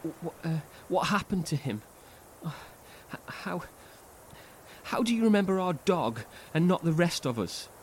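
A man's voice: a little high, big pitch swings, unhurried, slight background noise. A man playing a terrified, stammering infected husband. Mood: distraught. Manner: panicked, stammering, pleading. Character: frightened husband infected by alien growth. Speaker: lead character. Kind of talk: horror audio drama acting.

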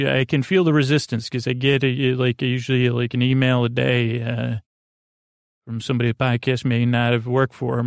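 A man with a creaky, gravelly voice talks in a flat, even murmur, drifting off on tangents and interrupting himself. He hosts a bedtime podcast and offers gentle reassurance. The sound is dry and close, with faint music underneath. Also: dry recording. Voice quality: creaky, low-key delivery. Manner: rambling, self-interrupting, goes off on tangents. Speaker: sleep podcast host. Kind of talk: meandering bedtime monologue.

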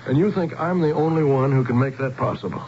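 An actor playing a skeptical, down-to-earth outsider with a plain-spoken, wry manner in a radio drama. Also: male voice, mid-range voice, fast talker, a little mellow, a little hiss or hum, natural voice. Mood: doubtful. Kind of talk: radio drama acting with first-person narration. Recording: muffled radio broadcast with music.

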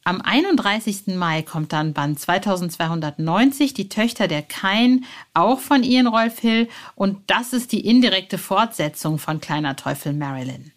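A woman's voice: mid-range voice, lively intonation, moderate pace. A woman presenting a podcast as host, speaking directly and personally to her listeners. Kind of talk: podcast moderation, addressing listeners.

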